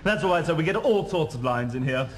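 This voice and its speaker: An actor playing a polite but gloomy English restaurant waiter in television sketch comedy. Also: male voice, on the high side, expressive, fast talker, lightly acted. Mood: politely resigned. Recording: noisy 1970s television studio recording.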